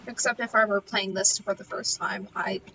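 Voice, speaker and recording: A woman's voice: medium pitch, fairly even intonation, moderate pace, pushed and bright, recorded from a distance, lo-fi sound. A woman game streamer chatting casually during a playthrough. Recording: clear background noise under voice.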